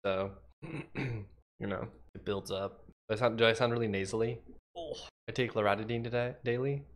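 A man's voice: mid-range voice, moderate pace, slight background noise. A young man from Washington state with a slightly nasal, congested voice and a cough, chatting casually with his livestream chat while he codes. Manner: chatty, thinks aloud, reads chat aloud. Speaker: livecoding streamer.

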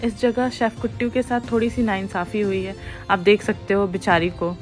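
A woman's voice: medium pitch, normal speed. A woman in her thirties narrating her family's camping trip as a vlog voice-over, chatty and mixing in English phrases. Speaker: vlogger. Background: faint music under the narration.

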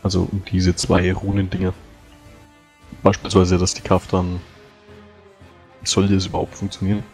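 Male player, very low voice, hesitant, faint music underneath. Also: monotone, moderate pace, darkish tone. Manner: hesitant, thinking aloud. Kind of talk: casual strategy discussion.

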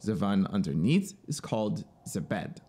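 A man's voice: on the low side, very animated, moderate pace, dark tone, a little hiss or hum. A man voicing an eager, talkative military officer in a dramatic storybook reading, insistent and explanatory. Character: eager, talkative male military officer, devoted to his machine. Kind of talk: dramatic audiobook character reading.

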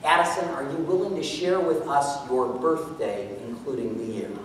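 A man's voice: fairly high pitch, expressive, normal speed, slight background noise. A middle-aged man presenting a maths magic act on stage in a playful, theatrical, showman-like way. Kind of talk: live stage show patter. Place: auditorium stage. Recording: echoey hall recorded from far off.

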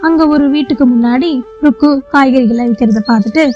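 High-voiced woman narrating a children's story. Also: brisk, dark tone, dull audio, soft background music.

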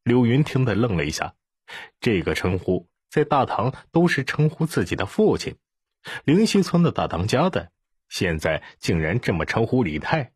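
A man's voice: mid-range voice, sing-song, moderate pace, husky, no echo, studio quality. A man narrating a scripted audiobook story.

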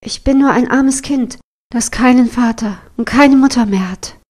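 A woman's voice: fairly high pitch, normal speed, darkish tone, natural voice. A woman storyteller voicing a humble young runaway princess posing as a meek, self-deprecating kitchen maid in a fairy tale. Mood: meek, plaintive.

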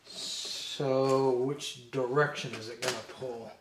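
An older man casually thinking aloud as he demonstrates something hands-on, musing and low-key, picked up by a camera mic indoors. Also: steady tone, slow. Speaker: vintage robot channel host. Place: indoor room with glass display table. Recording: echoey room, clear noise, handling clicks.

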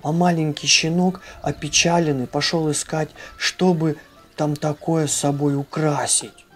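Slow storyteller over music. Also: mid-range voice, little pitch movement. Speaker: storyteller and channel host. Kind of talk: expressive children's storybook narration.

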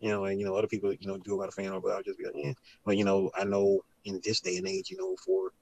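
A man's voice: low voice, steady tone, racing, creaky, darkish tone, a little hiss or hum, rough recording. A man chatting casually on a late-night live stream, rambling and using laid-back slang with lots of "you know".

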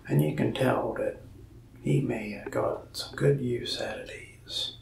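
A young man in a soft-spoken ASMR roleplay as a shop salesman, whispering and rambling in a folksy storytelling way. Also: medium pitch, unhurried, creaky, roomy sound, distant mic.

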